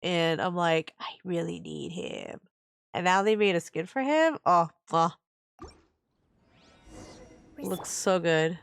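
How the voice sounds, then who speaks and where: A woman's voice: fairly low pitch, slow, gravelly, long pauses, clear, resonant tone, no echo. A woman livestreaming a game with casual, gushing, enthusiastic reaction commentary. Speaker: game streamer.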